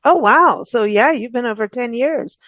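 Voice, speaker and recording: A woman's voice: fairly high pitch, normal speed, a bit bright, dry recording. A woman hosting a books show, talking in a casual, rambling, informal way as she interviews guests. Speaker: host of a books radio show.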